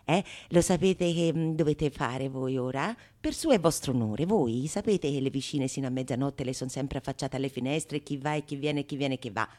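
A woman's voice: a bass voice, moderate pace, hardly any pauses, warm tone. A woman playing a sly, bawdy schemer in a Renaissance comedy monologue, teasing and conspiratorial in old-fashioned theatrical language. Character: sly bawdy scheming go-between, plotting pranks on a foolish lover. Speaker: stage actress performing all parts.

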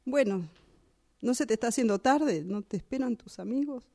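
Woman acting a high-voiced, hurt Argentine girlfriend on the phone. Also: expressive, normal speed, dark tone. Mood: resentful. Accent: Argentine Rioplatense. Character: hurt, resentful, pregnant woman on phone with unfaithful partner. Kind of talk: radio drama phone monologue.

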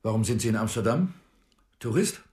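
A man's voice: fairly low pitch, animated, a little fast, darkish tone, lightly acted. A man playing an unmoved, procedural police inspector in a radio crime drama, businesslike and dismissive.

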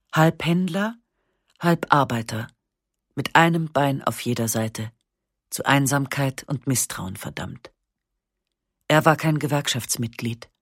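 A woman narrates a memoir for radio in a calm, measured way, her voice slightly husky. The studio sound is close and dry, with no room around it, and her pauses are slow and matter-of-fact. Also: deep voice, no echo. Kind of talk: literary first-person narration, radio reading.

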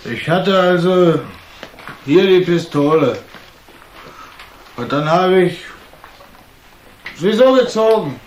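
Higher-voiced, guarded boxer, echoey room. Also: male voice, animated, slow pace, long pauses, a little hiss or hum. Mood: guarded, matter-of-fact. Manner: short, careful, guarded answers. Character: big male professional boxer answering plainly, guarded. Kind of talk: German radio drama acting.

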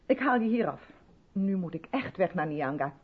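Radio drama, female role: strict, insistent rehearsal leader, persuasive and pointed, very expressive intonation, muffled vintage sound. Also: on the low side, moderate pace, slightly rough. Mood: eager, pleading. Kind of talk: 1970s Dutch radio drama acting.

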